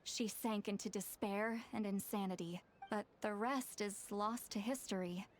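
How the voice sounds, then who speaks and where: An actress playing a guarded, sly, self-styled granny healer in a video game, speaking in a measured, cautioning way. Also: female voice, mid-range voice, steady tone, slight background noise, natural voice. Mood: grave. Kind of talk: scripted game dialogue. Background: game music.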